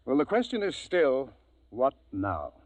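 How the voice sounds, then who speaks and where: An earnest American man, a spaceship's doctor given to speculation in a 1950s radio play. He speaks with deliberate emphasis and wide, expressive swings of a medium-pitched voice, recorded dry. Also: no room sound. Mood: pondering.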